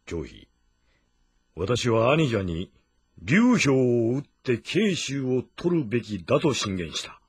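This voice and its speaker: An actor playing a grave, measured older sworn-brother general in a historical game drama, with deliberate, stern diction. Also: male voice, mid-range voice, big pitch swings, breathy edge, no echo, full character voice. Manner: deliberate, stern, martial, old-fashioned diction.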